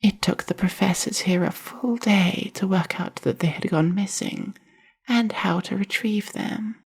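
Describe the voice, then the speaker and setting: A woman voicing a reserved, bookish young drider librarian in a soft-spoken ASMR roleplay, with formal, measured phrasing. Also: mid-range voice, heavily acted. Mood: calm, explanatory. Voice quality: soft, breathy, husky with heavy creak. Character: reserved, bookish young female drider librarian, half-spider university student.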